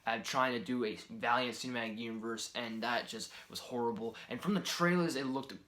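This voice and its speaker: A man giving casual film-ranking commentary in a soft, near-whispered delivery, rambling and exasperated with many fillers. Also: mid-range voice, brisk. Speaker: movie reviewer.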